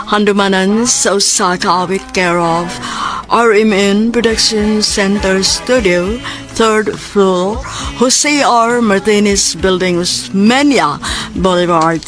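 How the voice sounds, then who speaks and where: A woman's voice: mid-range voice, a bit bright. Cebuano woman narrating over music. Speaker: radio drama host and narrator. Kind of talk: scripted radio program narration. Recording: music under the voice.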